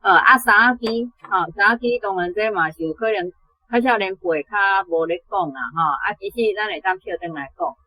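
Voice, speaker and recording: A woman's voice: mid-range voice, fairly quick, bright, forceful tone, husky, dry recording, rough recording. A woman with a Taiwanese accent giving unscripted explanations and corrections as a language teacher.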